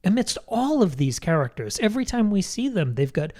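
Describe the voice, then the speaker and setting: Guy podcast host, voice a bit high, casually walking thru book points, wry. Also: very animated, fairly quick, a little mellow.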